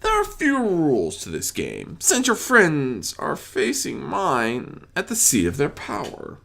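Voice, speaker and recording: A man's voice: on the high side, wildly swooping pitch, gravelly, a bit bright, voice pushed up, heavily acted. A man voicing a playful male chaos spirit, a theatrical trickster who teases and draws out his phrases. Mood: explaining. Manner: theatrical, teasing, drawn-out phrasing.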